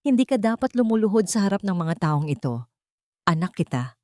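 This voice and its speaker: A dignified old mother played by a woman narrator speaks softly in an audio storybook. She is calm and forgiving, with quiet authority, recorded dry. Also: medium pitch, brisk, no room sound, close to an everyday voice. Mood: gentle, forgiving. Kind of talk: audio storybook character acting.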